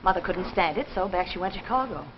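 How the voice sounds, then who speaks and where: A woman's voice: on the low side, expressive, fast talker, lightly acted. An actress playing a friendly, teasing young American landlady in a 1950s TV drama, chatty and wry. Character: friendly, teasing young woman running a small-town boarding house. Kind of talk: 1950s television drama acting. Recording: muffled 1950s broadcast with faint noise.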